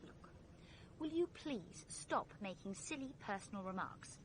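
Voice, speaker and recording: An actress playing a brisk, forthright young English heroine in a vintage radio drama. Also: female voice, medium pitch, lively intonation, noisy background, lightly acted. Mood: brisk, scolding. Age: young adult.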